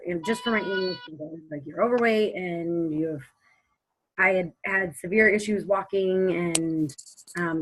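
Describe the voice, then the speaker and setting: A hoarse woman giving a candid personal testimonial over a webcam video call. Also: on the low side, a bit bright, rough recording. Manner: apologetic, candid. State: hoarse from yelling.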